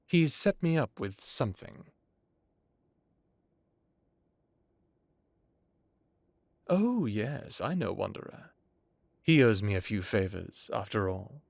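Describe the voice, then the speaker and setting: A man playing an ancient, courteous, world-weary vampire lord in an audio roleplay, speaking in a measured, knowing, genteel way. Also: lowish voice, big pitch swings, creaky, dry recording, studio quality, heavily acted. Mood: courtly, tired. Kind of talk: dramatic audio roleplay acting.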